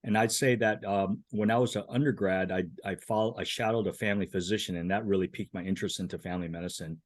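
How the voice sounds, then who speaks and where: A man's voice: low voice, steady tone, quick pace, no echo. A man in his fifties, a family physician, talking on a panel with a self-deprecating humor and repeated words. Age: 50s. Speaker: family physician, clinical professor. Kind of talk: casual panel talk.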